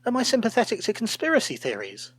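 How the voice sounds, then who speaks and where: A man's voice: high voice, wildly swooping pitch, quick pace, fairly bright tone, breathy edge, voice pushed up, heavily acted. A man voicing a flustered old woman, fretful and eager to please, in a storybook tale. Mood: anxious, bewildered. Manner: fretful, then gushing. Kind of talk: storybook character voice.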